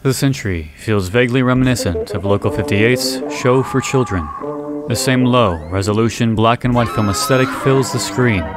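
A man reading scripted analysis narration in a measured, deliberate way with dramatic pauses. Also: medium pitch, loud music behind the voice. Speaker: video essay narrator.

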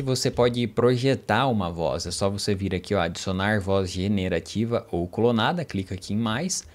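A man in his thirties casually walking viewers through a software tool, enthusiastic and explaining step by step, speaking into a studio mic at home. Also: on the low side. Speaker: tech YouTuber. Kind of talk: casual screen-recording tutorial. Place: home studio with gaming chair. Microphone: studio mic on stand.

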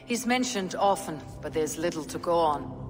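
A woman playing a stern, resolute Viking warrior in a game cutscene, speaking in a measured, grim, matter-of-fact way. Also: deep voice, lively intonation. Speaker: video game lead character. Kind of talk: dramatic game cutscene acting. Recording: reverberant stone hall, music underneath.

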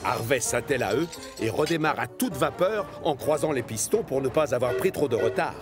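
A man's voice: medium pitch, expressive, fairly quick, over music. A man reading scripted narration for a children's cartoon.

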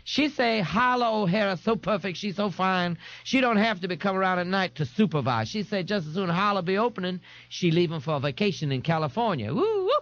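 A man's voice: high-pitched, very animated, fairly bright tone, dry recording, highs cut off, voice pushed up, exaggerated voice. A man voicing a sly, sarcastic, streetwise male bar worker in an audiobook, using folksy slang. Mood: slyly gleeful. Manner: drawn-out folksy slang, whooping. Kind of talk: audiobook character voice.

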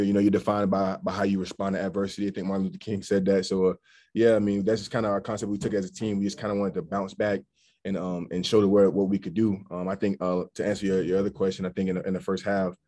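Deep, fast-talking young man at a press conference. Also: warm tone. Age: young adult. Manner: earnest, rambling with fillers and restarts. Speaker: college football offensive lineman. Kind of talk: post-game press conference answers. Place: press backdrop room, indoors. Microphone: video call.

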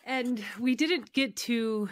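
A woman's voice: a little high, slow. A woman hosting a radio interview in a conversational style.

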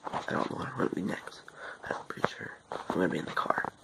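A man's voice: quick pace, whispered. A young man vlogging in casual chat on a noisy handheld phone recording.

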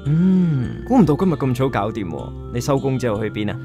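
A man's voice: medium pitch, very animated, a little fast. An actor playing a considerate, attentive man courting a woman in a radio drama, with a Hong Kong Cantonese accent. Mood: tentative, friendly. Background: faint background music.

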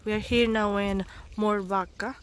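A woman's voice: mid-range voice, fairly even intonation, normal speed, slight background noise. A woman from the Philippines, feeling sick, chatting casually on a travel vlog, hunting for words and mixing languages. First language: Tagalog. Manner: chatty, switches between English, Tagalog and Swedish, searches for words. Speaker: vlogger. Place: outdoors at a rural estate.

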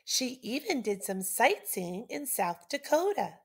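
A middle-aged woman reads a children's story aloud like a teacher, with deliberate, dramatic emphasis. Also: on the high side, sing-song, husky.

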